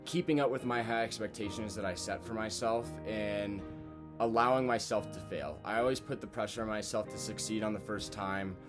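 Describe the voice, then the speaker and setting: A man's voice: fairly low pitch, normal speed. A man of twenty, a university student, speaking earnestly and thoughtfully about his own experience. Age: 20s. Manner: earnest, thoughtful, uses fillers. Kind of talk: reflective testimonial. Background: music.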